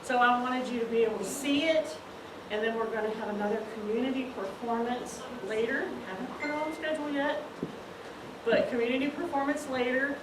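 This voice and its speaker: A woman's voice: on the high side, steady tone, brisk, recorded from a distance. A woman, a school play director, giving an encouraging, informal introduction before a show. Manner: encouraging, enthusiastic. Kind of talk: informal pre-show speech to an audience. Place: large room, distant mic. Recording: roomy, noisy recording from afar.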